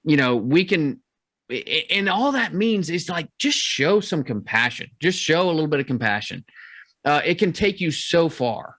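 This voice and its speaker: A man's voice: a little high, big pitch swings, normal speed, a bit bright, no echo, clean studio sound. A man hosting a podcast, talking frankly in casual conversation and reading a scripted outro. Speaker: podcast host.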